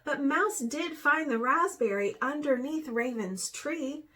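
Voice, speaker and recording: A woman voicing an excitable, anxious storybook hedgehog in an earnest, exclamatory way. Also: high voice, unhurried.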